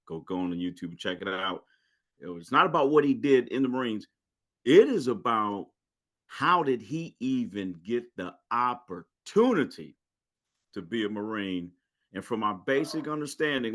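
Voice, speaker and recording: A man hosting a podcast in a casual interview, telling stories in a lively, joking way. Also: fairly low pitch. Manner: lively, joking, animated storytelling. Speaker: podcast host.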